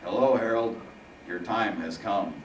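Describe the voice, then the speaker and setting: A man's voice: fairly low pitch, measured pace, natural voice. A man voicing a mocking, theatrical Grim Reaper with a phlegmy voice and dramatic delivery in a storybook reading. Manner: grandiose, dramatic. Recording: roomy, distant mic, faint noise.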